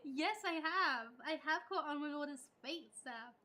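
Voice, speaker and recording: A woman's voice: high voice, unhurried, pushed and bright, a little hiss or hum. A woman streaming a video game live, reading dialogue aloud and reacting to it casually. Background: faint game music.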